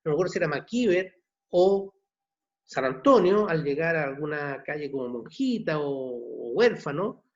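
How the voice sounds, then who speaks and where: A man in his sixties from Chile with a Chilean accent, a novelist answering questions at an online book launch, halting and repeating words. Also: fairly high pitch, expressive. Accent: Chilean Spanish. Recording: dull muffled video call audio.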